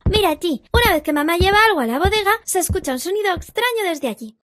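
A woman's voice: very high voice, expressive, quick pace. A woman voicing a cheerful little girl hippo in a children's cartoon, playful and instructive in manner. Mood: eager, playful. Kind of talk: children's interactive cartoon dub. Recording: loud music behind. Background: music.

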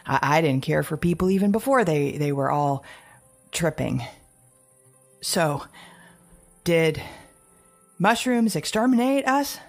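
A husky, haunted survivor mother. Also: sits low, lively intonation, soft background music, full character voice. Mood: uneasy, explaining. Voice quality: husky, gravelly. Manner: halting, stammering, repeating words. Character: anxious, guilt-ridden mother surviving alone after an apocalypse. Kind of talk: intimate audio drama monologue.

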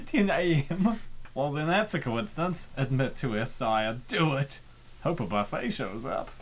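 A man hosting a comic dub, giving joking, theatrical and excitable commentary and reading comments aloud. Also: mid-range voice, a little slow.

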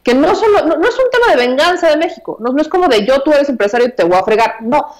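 A woman's voice: high-pitched, lively intonation, quick pace. Woman in her 30s w/ mexican accent, chatty emphatic political commentary, earphone mic at home. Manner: emphatic, conversational, repeats herself. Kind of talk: news commentary. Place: small room at home.

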